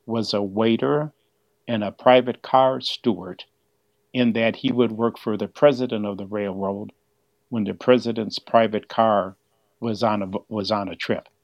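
Older male curator as interview guest, fairly low voice, slightly muffled sound. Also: no echo. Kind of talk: explanatory interview talk.

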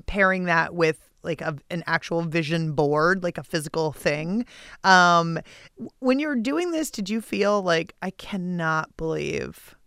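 Woman radio host, kinda low smooth voice, chatty interview style, dry studio sound. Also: fairly bright tone, no room sound.

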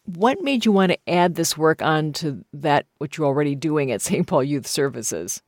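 A woman's voice: low-pitched, lively intonation, moderate pace, dry recording, clean studio sound. A woman with an American accent, hosting a broadcast interview. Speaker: radio host interviewing a guest.

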